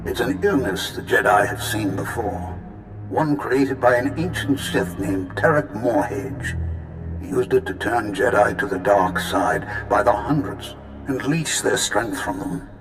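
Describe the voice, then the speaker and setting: A man voicing a patient, wise Jedi master spirit in a video game, measured, formal and reassuring. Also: fairly low pitch, echoey room, over music. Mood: grave storytelling.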